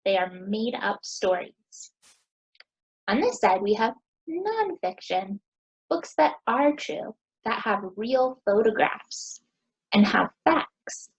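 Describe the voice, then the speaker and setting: High, sing-song American woman teaching children. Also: big pitch swings, slightly rough, rough recording. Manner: sing-song, encouraging teacher talk with deliberate pauses. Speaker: teacher hosting a children's story time. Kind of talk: children's lesson with questions to young listeners.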